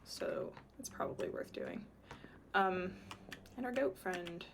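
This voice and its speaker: A slightly hoarse woman streaming a game, chatting casually and thinking aloud with many ums. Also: lowish voice, wildly swooping pitch, slow pace, noisy background. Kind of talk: casual livestream commentary.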